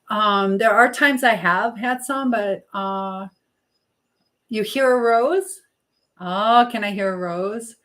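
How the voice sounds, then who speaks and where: A woman's voice: medium pitch, fairly bright tone. Woman chatting casually on a rambling live stream. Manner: rambling, hopping between topics and replies. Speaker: live stream host and life coach. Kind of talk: casual live stream chat, reading out viewer comments.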